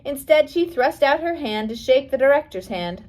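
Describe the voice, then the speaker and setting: A woman's voice: sits high, lively intonation, moderate pace, a little mellow. A woman reading a scripted biography aloud as an audiobook, with careful storytelling delivery. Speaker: audiobook reader.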